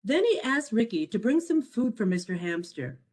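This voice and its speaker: A woman reading her own children's picture book aloud as a storyteller, in an expressive, measured way. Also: a little high. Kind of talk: scripted storybook narration for children.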